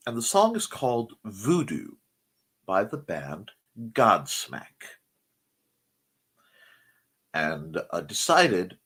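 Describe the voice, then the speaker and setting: Husky middle-aged man, slow and wandering. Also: sits low, a bit bright. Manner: rambling, searching for words, wry asides. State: recovering from losing his voice. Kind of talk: casual chat.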